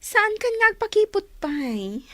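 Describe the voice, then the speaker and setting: Give me a brazen conniving thief of a girlfriend, very high and wildly animated. She has an Ilocano accent and a radio-drama style, recorded dry and close. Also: measured pace, no echo, heavily acted. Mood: conniving, gossipy. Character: brazen conniving woman, thieving confidante.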